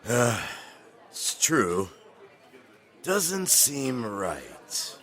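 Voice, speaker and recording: Gruff, creaky, slow-drawling tipsy drinker. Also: male voice, medium pitch, very animated, fairly bright tone, full character voice. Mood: amused grumbling. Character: jovial, tipsy male drinking companion, gruff and wine-loving. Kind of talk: audio drama acting.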